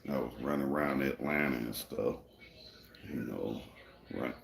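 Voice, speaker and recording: Laid-back male livestream host, very low gravelly voice, echoey room, rambling delivery. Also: a little slow, crowd noise. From Michigan, USA. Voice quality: deep gravelly voice, people say it suits radio. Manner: laid-back and rambling, many "you know" fillers. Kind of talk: casual livestream chat answering viewers.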